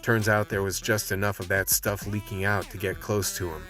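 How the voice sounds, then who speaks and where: A low-voiced actor as a tough man tells of his fight with vampires as first-person radio drama narration. The delivery is noir storytelling, with clear music playing underneath. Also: flat delivery, normal speed, fairly bright tone, lightly acted. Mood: grim, steady narration.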